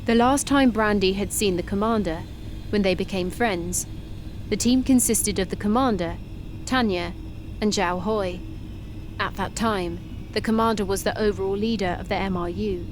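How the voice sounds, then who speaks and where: A woman giving even, measured scripted narration for an animated sci-fi story. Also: mid-range voice, a little hiss or hum.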